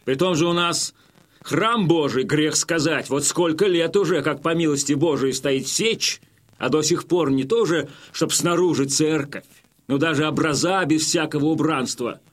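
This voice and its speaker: An actor playing a wily Cossack chieftain who addresses his warriors with measured, folksy, feigned humility in an audio drama. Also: a little high, natural voice. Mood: sly, humble. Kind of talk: theatrical audio drama oratory.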